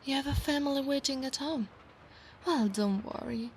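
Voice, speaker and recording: A woman with an Italian accent voicing an affectionate, possessive centaur knight in a soft ASMR roleplay, often slipping into breathy whispers. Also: sits high, normal speed, slight background noise. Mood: chatty, teasing. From Italy.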